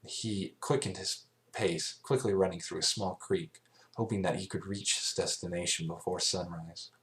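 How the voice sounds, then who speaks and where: A man reading scripted story narration as an amateur, in a halting way, stumbling and rereading phrases. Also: deep voice, fairly even intonation. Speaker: amateur fan fiction reader.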